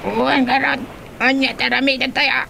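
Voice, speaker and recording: A woman playing a sea creature in a radio drama, with a creaky, sharp voice and an Ilocano accent. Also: sits high, level tone, moderate pace, a little hiss or hum, exaggerated voice. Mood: tense. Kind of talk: radio comedy-drama acting.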